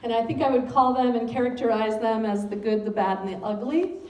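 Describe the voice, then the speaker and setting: A woman's voice: on the high side, brisk, dull audio. Woman giving a talk in an echoey room. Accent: American. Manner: frequent ums, speaks of having a loud voice. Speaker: school superintendent. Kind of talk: public speech to a civic gathering. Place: meeting room. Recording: echoey room, distant mic, faint noise.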